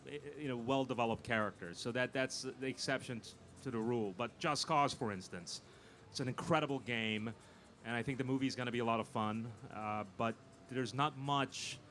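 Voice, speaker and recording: A man answers questions as a guest on a live panel, with some noise behind him. He sounds like a film producer explaining his work, halting and repeating small words as he gathers his thoughts. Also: medium pitch, fairly bright tone. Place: live convention stage. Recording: noisy live event room.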